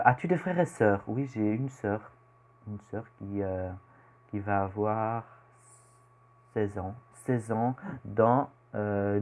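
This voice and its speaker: A man's voice: lowish voice, darkish tone. A teenage boy from Belgium with a Belgian French accent, casually answering questions about himself in a vlog, rambling and hesitant with lots of fillers. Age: teen. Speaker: youtuber. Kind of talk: casual self-introduction vlog.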